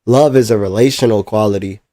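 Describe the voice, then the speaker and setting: A man's voice: lowish voice, brisk, dark tone, no room sound, studio quality. A man making the case for his faith in a live online debate, explaining step by step and checking in with "right?".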